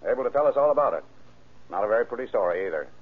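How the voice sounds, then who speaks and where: An American actor playing a relentless, sarcastic police interrogator in a vintage radio drama. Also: male voice, mid-range voice, expressive, rapid-fire, natural voice. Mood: sardonic, pressing. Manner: sarcastic, pressing. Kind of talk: 1930s radio drama acting. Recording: muffled, band-limited old radio recording.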